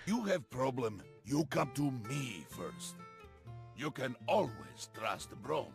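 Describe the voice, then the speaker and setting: An actor playing a big, jolly, good-hearted game warrior who speaks hearty, simple broken English. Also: male voice, mid-range voice, sing-song, slow, creaky, exaggerated voice. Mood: confident cheer. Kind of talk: video game voice lines. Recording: game clips over background music.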